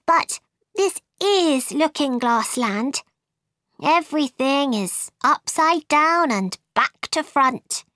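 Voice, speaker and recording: A woman's voice: sits high, lively intonation, slow pace, sharp tone, voice pushed up, full character voice. A woman reading a storybook aloud, voicing a polite, puzzled young girl. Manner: wondering, polite, slightly plaintive.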